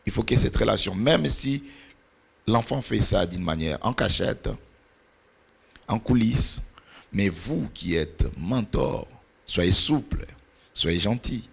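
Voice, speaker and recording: A middle-aged man whose first language is Portuguese talks about mentoring in church with a preacher's earnest cadence. His voice sits low and gravelly with a husky edge, and he sometimes pauses to hunt for the right word. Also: expressive. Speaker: pastor and mentor.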